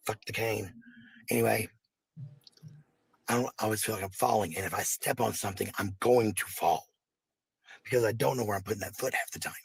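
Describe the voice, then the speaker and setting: A woman with a husky, raspy voice, ranting casually to her followers in a defensive, blunt, sweary manner. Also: a bass voice, brisk, long pauses, no echo. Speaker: livestreamer.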